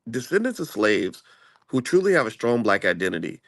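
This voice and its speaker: A man's voice: mid-range voice, sing-song, brisk, a bit bright, no room sound. A man hosting a channel, talking politics in a rambling, conversational way with many restarts. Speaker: channel host. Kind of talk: casual political chat. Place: traveling, in a room before a flight.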